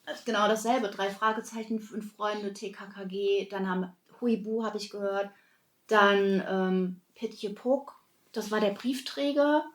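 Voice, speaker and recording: A woman's voice: mid-range voice, measured pace. A woman talking casually as a co-host on a podcast. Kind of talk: casual chat. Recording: roomy echo.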